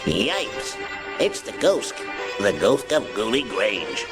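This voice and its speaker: A man's voice: on the low side, very animated, takes it slowly, over music. A man voicing a scrappy, plucky cartoon sailor in a storybook reading, muttering in ungrammatical salty talk. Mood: indignant.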